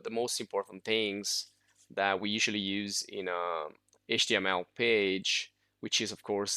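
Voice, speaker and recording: A man whose first language is Italian, talking through a screen-recorded software tutorial casually, with many fillers and restarts. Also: on the low side, gravelly, no room sound. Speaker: software instructor.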